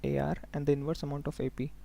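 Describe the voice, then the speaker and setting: A man working as a software trainer, giving a step-by-step live tutorial in an instructional way, often prompting listeners to follow along. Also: a little high, flat delivery, quick pace, gravelly. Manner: instructional, often checking in with okay and look here.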